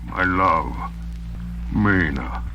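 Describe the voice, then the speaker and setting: An actor playing a commanding ancient vampire, chanting solemnly and hypnotically in 1930s radio horror style. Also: male voice, low voice, lively intonation, drawn-out words, bright, forceful tone, exaggerated voice. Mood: hypnotic pleading. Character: commanding ancient male vampire summoning his dark servants. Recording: dull vintage radio recording, music behind.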